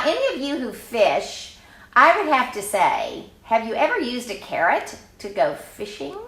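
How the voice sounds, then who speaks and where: An older woman reading a picture book aloud to children in an informal way, with chatty, exclaiming asides and drawn-out emphasis. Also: high voice, a bit bright, reverberant.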